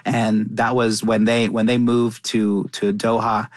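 A man's voice: fairly low pitch, little pitch movement, measured pace, dry recording. A young man from Qatar, a chef and cookbook author, talking earnestly as a radio interview guest and often restarting his sentences. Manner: earnest, with frequent restarts and repeated words.

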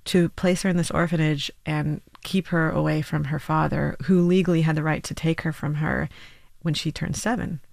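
Woman in her thirties, musician guest, slight vocal fry, dry close sound, thoughtful and hesitant. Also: low voice, steady tone, moderate pace, hardly any pauses, darkish tone, no echo. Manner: reflective, hedging with many restarts and "like". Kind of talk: radio interview.

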